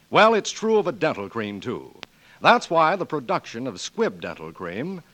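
A man's voice: medium pitch, fairly bright tone. A man announcing on 1940s radio, reading scripted commercial copy in a formal, polished sales style.

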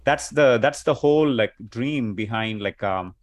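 A man's voice: medium pitch, measured pace. A man with a mid-range voice shares his opinions in a loose tech chat. He fills his sentences with "like" and keeps checking in with "right?". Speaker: co-host. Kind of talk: casual tech discussion.

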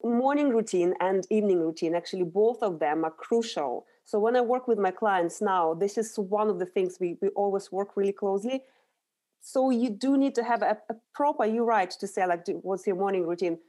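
A woman's voice: mid-range voice, moderate pace, mellow. A middle-aged woman from Russia with a Russian accent, answering interview questions as a nutritional therapist in an earnest, explanatory way with small restarts.

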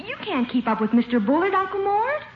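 A sweet young wife, high-voiced, affectionate and chatty. The 1950s radio comedy sounds roomy on an old recording. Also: female voice, sing-song, a little fast, dull audio, noisy background. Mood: fond, cheerful. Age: young adult. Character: affectionate young expectant wife, caring niece.

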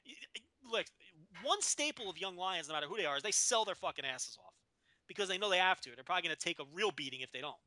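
A man in his thirties with a notably high, very bright and forceful voice, talking in a rambling, profane and enthusiastic way. The sound is dry and close to the mic, with no room echo. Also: lively intonation, fast. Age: 30s. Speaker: podcast co-host. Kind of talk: casual wrestling podcast chat.

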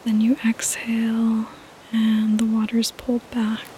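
A woman speaks in a soft, breathy near-whisper with a mellow tone. She guides listeners toward sleep gently and soothingly, keeping her delivery very even and unhurried. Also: fairly high pitch, slight background noise, low-quality recording. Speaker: podcast host and meditation guide.

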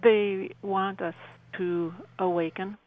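A woman being interviewed on radio, explaining earnestly in halting phrases and repeating words for emphasis. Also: medium pitch, fairly even intonation, phone-quality sound. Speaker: interview guest, author.

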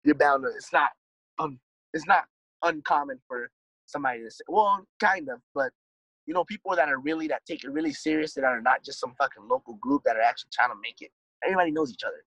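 A laid-back man with a slightly high voice, rambling through casual talk and dropping swear words and "dude" as he goes. He is recorded on a dry, close microphone. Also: quick pace, bright, forceful tone, no room sound, poor audio. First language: English. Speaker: musician guest. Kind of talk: casual conversation.

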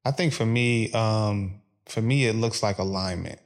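Low, gravelly, calm-spoken man. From Philadelphia, USA. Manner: calm, measured, with frequent pauses and fillers. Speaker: podcast guest. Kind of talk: reflective interview conversation.

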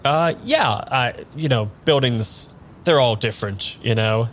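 An actor playing an eager, pushy man without his memory in a naturalistic improvised audio drama, halting and repeating himself. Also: mid-range voice, expressive, fairly bright tone, slight background noise. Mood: evasive, coaxing. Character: eager amnesiac man, pushy, boyishly enthusiastic tarot querent.

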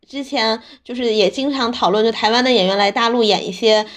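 A woman's voice: on the high side, brisk. A woman co-hosting a podcast in casual panel chat, with an informal, teasing way of talking. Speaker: podcast host.

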